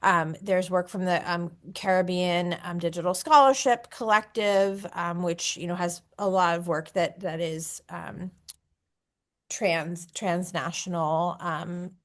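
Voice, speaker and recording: A woman speaking as a scholar on an academic panel, earnest and halting as she rephrases her thoughts aloud. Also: lowish voice, steady tone. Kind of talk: unscripted academic discussion.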